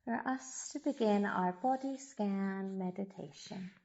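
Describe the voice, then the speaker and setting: A woman guiding a body scan meditation as a yoga teacher, giving gentle, soothing instructions with long pauses. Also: mid-range voice, dull audio.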